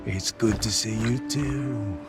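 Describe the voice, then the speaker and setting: An actor voicing a small, cheeky, blustering male orc sidekick in a video game cinematic, with an animated and wheedling manner. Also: lowish voice, takes it slowly, over music. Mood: grateful. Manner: animated, wheedling, loudly indignant.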